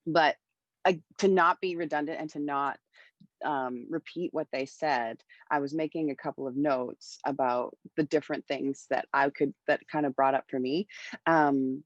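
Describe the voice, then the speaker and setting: Lively woman mentor on a video call. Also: low-pitched, moderate pace, no echo, dull audio. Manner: energetic, lots of hand gestures. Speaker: music mentor. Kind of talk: panel talk on recording. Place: home room with bright wall. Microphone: wireless earbuds on video call.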